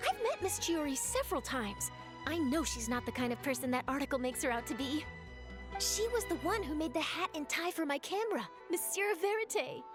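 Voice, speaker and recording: An eager young game journalist with a very high, bright voice. She speaks earnestly and articulately, with game music running underneath. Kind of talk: video game voice acting.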